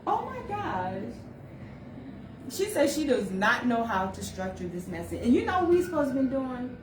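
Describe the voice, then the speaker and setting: A woman hosts a live call-out show, her voice sitting a little high and her talk chatty and giggly. She sounds set back from the mic in a reverberant room. A steady noise runs underneath. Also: moderate pace. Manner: giggly, chatty, self-teasing. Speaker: host. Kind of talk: casual live call-out chat. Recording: echoey room, mic far off, noisy.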